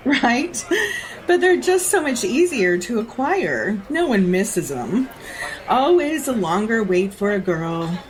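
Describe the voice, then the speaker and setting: A woman's voice: fairly high pitch, very animated, takes it slowly, rough recording. An actress playing a jaded, predatory society woman in an audio drama, haughty and teasing with a theatrical drawl. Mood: teasing, menacing.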